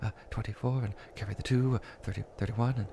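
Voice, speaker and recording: A man's voice: fairly low pitch, fairly even intonation, quick pace, creaky, noisy background. A man playing a meek, anxious clerk in an audio drama, hesitant and deferential. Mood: nervous, apologetic. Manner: hesitant, stammering, apologetic.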